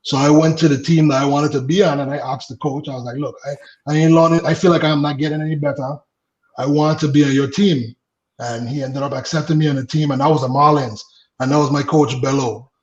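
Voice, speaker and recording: A man in his late thirties from the Virgin Islands tells stories in a loose, easygoing way, with easy laughs and plenty of fillers. His voice sits a little high for a man. The recording echoes and sounds dull and lo-fi. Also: fast. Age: late 30s. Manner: laughs easily, relaxed, trails off with fillers. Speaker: guest, former baseball pitcher. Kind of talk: casual interview storytelling.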